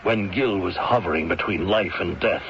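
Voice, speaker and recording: Grave doctor, muffled radio. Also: male voice, low voice, normal speed, a bit bright. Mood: grave, insistent. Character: grave, resolute male doctor confronting a killer. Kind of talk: 1970s radio mystery drama acting. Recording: muffled, noisy 1970s radio recording.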